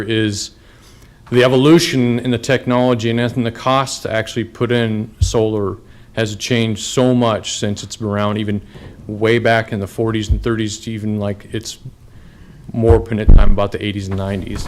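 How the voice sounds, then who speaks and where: A man's voice: fairly low pitch, little pitch movement, normal speed. A man testifying as a union business manager at a county board meeting, speaking plainly with some hesitation. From Nebraska. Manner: plain, a little hesitant, with fillers. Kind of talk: public testimony. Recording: public meeting broadcast, faint background noise.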